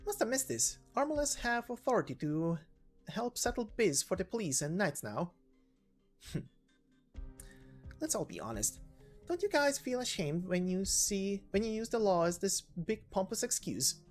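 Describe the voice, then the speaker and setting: A woman's voice: mid-range voice, big pitch swings, unhurried, soft background music, voice pushed up. A woman voicing a brash, easygoing young female knight with a breezy, teasing manner in a game story. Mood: cocky, taunting.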